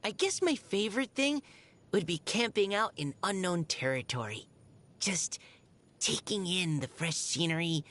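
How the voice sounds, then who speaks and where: A shy, earnest young scout girl. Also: fairly low pitch. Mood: warm, grateful. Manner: hesitant, soft-spoken, polite, earnest. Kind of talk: video game character voice lines. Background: music.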